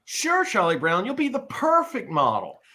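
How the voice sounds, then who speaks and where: A performer voicing a loud, bossy, crabby little cartoon girl in a live comedic table read, brash and emphatic. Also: mid-range voice, wildly swooping pitch, normal speed, heavily acted. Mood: bossy, pleased.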